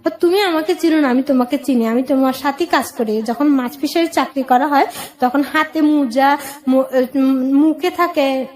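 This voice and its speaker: A woman's voice: sits high, normal speed, a little mellow. A woman shares her personal story in an interview. She speaks in a plain-spoken way and relays past conversations in direct speech. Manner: plain-spoken, recounting with acted-out dialogue. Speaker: guest telling own life story.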